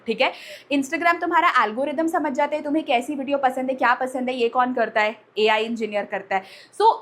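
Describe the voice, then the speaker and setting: A woman with a notably high voice talks directly to young students as their online teacher. She addresses them familiarly, like an elder sister, mixes English phrases into her speech and often adds short tags to check they follow. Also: a bit bright. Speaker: online teacher and channel host. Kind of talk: direct-to-audience vlog talk with a sponsored pitch.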